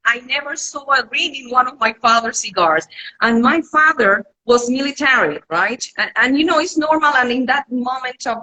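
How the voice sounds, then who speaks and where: Woman guest on a video call, higher pitched, bright and forceful, halting English. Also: expressive, poor audio. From Cuba. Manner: halting, searches for words, repeats herself. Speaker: guest, cigar connoisseur. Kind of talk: casual live interview.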